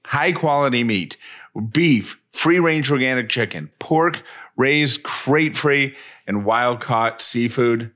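A man's voice: medium pitch, lively intonation, slow pace, a bit bright. A man co-hosting a podcast, giving conversational, folksy and enthusiastic sponsor reads. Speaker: podcast co-host reading sponsor ads. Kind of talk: conversational ad read.

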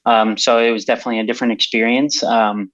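A man who works as a filmmaker, talking as a podcast guest over a remote call in a relaxed way with plenty of fillers. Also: mid-range voice, quick pace, no echo, muffled recording. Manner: relaxed, filler-heavy talk. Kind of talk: casual interview answers.

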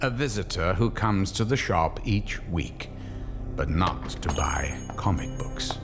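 A man playing the theatrical, deliberate, ominous narrator of a scripted radio horror story. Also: deep voice, expressive, loud music behind the voice.

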